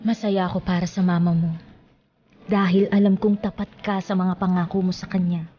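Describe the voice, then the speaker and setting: An actress playing a kindly, moralizing aunt in a Filipino radio drama, giving measured, earnest advice. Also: female voice, lowish voice, little pitch movement, dark tone, natural voice. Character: kindly, moralizing aunt advising her young niece. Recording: dull lo-fi sound, faint noise.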